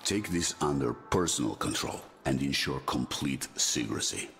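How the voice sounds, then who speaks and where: Stern commander, very low gravelly voice, proud, confiding, authoritative, video game drama, music behind. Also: male voice, animated, a bit bright, heavily acted. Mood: confiding, businesslike. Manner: measured, authoritative. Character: stern older male military commander, proud father, confiding.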